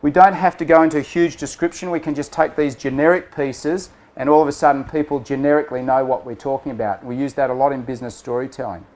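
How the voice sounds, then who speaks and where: A man's voice: mid-range voice, fairly even intonation, quick pace, few pauses, a little mellow. A man running a storytelling workshop for a group, speaking casually and often checking in with "okay?" and "right?". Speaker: workshop facilitator. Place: room with a group of people.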